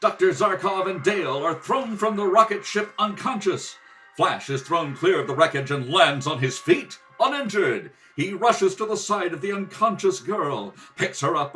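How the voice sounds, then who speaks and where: Bright-voiced man narrating a radio serial. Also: on the high side. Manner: dramatic, declamatory. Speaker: announcer and narrator. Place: kitchen table at home. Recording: home kitchen recording, distant mic.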